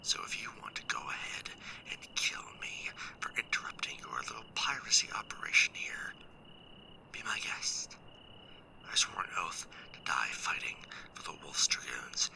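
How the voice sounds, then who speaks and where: A man playing a proud, bitter stranded mech warrior in an ASMR sci-fi roleplay, speaking in a hushed, solemn, formal way. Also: a bass voice, gravelly, full character voice, rough recording.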